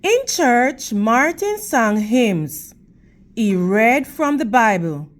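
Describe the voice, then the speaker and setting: A woman reading a picture book aloud to children in a teacherly manner, with deliberate, emphatic phrasing and questions for her young listeners. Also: fairly high pitch, big pitch swings. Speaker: read-aloud storytime host for children.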